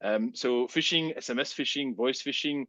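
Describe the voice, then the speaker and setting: A Belgian man whose first language is Dutch, with a Flemish accent, presenting a technical webcast in a relaxed, chatty way with many fillers. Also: mid-range voice, normal speed, no echo, lo-fi sound.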